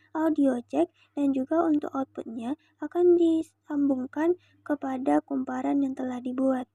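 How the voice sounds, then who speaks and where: A woman's voice: high-pitched, gravelly, mellow, no echo, studio quality. A young woman from Indonesia giving a scripted, formal explanatory narration as a student presenter, methodical in her delivery. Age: young adult.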